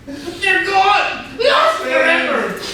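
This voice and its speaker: A woman's voice: very high-pitched, sing-song, very slow, bright, forceful tone, exaggerated voice. A woman playing a frantic, snack-obsessed doctor in a farcical murder mystery, given to dramatic outbursts, at a staged reading. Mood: frantic, despairing. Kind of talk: staged comedy play reading. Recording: echoey hall, far mic, clear noise.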